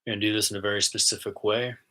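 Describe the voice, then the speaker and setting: A man with a fairly low voice that still has a bright edge, hosting a spiritual talk. He chats in a relaxed, easygoing way. When he guides the breathing he slows down and goes soft. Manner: easygoing and chatty, soft and slow when guiding. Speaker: spiritual host and intuitive channeler.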